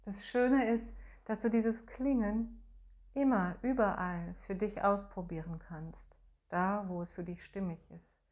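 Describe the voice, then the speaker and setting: Slow, soothing woman guiding breathwork. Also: mid-range voice, fairly even intonation. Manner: slow, soft, soothing instructions with long pauses; hums and sings sustained tones as demonstration. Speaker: voice and breathing guide. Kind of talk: guided voice meditation.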